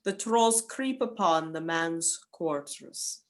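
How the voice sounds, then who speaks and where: A woman's voice: deep voice, lively intonation, slow. A woman narrating a scripted folktale as a dramatic storyteller for a radio play.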